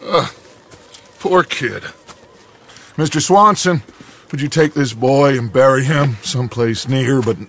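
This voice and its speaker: A scheming middle-aged outlaw boss for a western video game, American, with a creaky edge and a bright, forceful tone. He speaks grandly and theatrically, always persuading. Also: medium pitch, slow, pauses often. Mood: grim, brooding. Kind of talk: cinematic video game acting.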